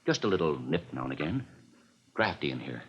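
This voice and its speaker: Folksy, forgetful old American desk clerk; radio play, very animated pitch, faint hiss. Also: male voice, brisk. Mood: rambling, genial. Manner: rambling, folksy, repetitive, absent-minded. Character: muddled, tippling, talkative old male hotel night clerk. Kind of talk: 1950s radio drama character acting. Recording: muffled, noisy 1950s radio recording.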